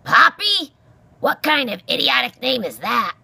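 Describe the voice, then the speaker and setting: A woman's voice: mid-range voice, very animated, unhurried, creaky, pushed and bright, husky, dry recording, pitched above the performer's own voice, exaggerated voice. A woman voicing a grumpy old male porcupine in a storybook, barking scornful insults in a growling bluster. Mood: irritable.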